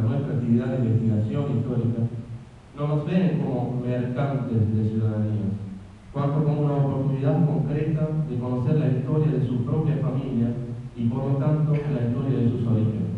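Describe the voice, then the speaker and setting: An Italian guest speaker in a museum hall reads his lecture from a text, his words thick with fillers and restarts. The sound is distant and thin, like a phone line, and a long echo trails each phrase over a faint hiss. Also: mid-range voice. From Italy. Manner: hesitant, many fillers and restarts. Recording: echoey hall from far off, tinny and noisy.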